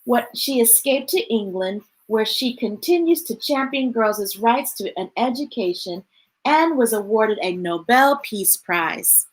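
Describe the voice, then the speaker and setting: Woman reading picture books aloud, fairly high. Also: lively intonation, measured pace, low-quality recording. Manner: chatty asides to viewers. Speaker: children's storytime reader.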